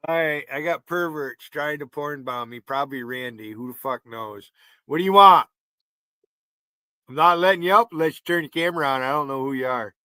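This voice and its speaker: A man's voice: fairly high pitch, a little fast, sharp tone, smooth, no room sound. Blunt rambling northern New York man. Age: 50s. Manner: blunt, rambling, swears freely. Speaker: livestream host. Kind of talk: casual chat.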